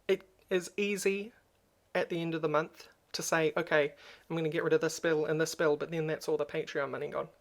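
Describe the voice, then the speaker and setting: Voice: mid-range voice, steady tone, normal speed. A YouTuber with a crackly voice, talking in a subdued and hesitant way in a personal vlog, with frequent ums. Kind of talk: personal vlog talk to camera.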